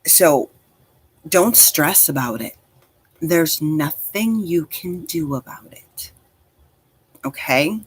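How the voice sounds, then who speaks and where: A woman's voice: sits low, expressive, slow, long pauses, slightly rough, poor audio. A woman in her forties chatting casually on a livestream as host, speaking directly to listeners in an encouraging, reassuring way. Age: 40s.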